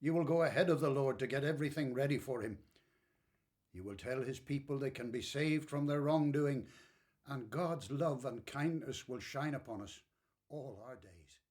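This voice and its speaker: A man's voice: medium pitch, moderate pace, a little mellow, close to an everyday voice. An actor playing an elderly, devout and tender father in a biblical radio play. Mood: exalted, prophetic.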